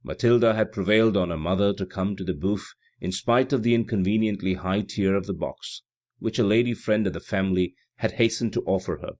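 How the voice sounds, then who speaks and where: A man's voice: deep voice, a little fast, darkish tone. A man reading literary fiction aloud as scripted audiobook narration. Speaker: audiobook reader.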